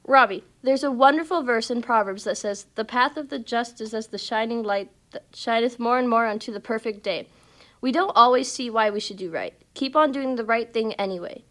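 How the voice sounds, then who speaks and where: Woman playing a high-voiced, patient puppet mother. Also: fairly quick, a bit bright, natural voice. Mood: patient, instructive. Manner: patient, instructive. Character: gentle, principled mother guiding her young son. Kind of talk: church puppet show dialogue.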